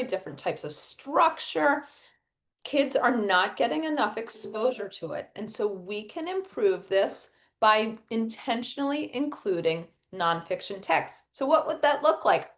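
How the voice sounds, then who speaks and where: A woman's voice: on the high side, fairly bright tone. A middle-aged woman giving an instructional webinar for educators, explaining step by step and posing questions that she then answers herself. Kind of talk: instructional webinar presentation with acted read-aloud examples.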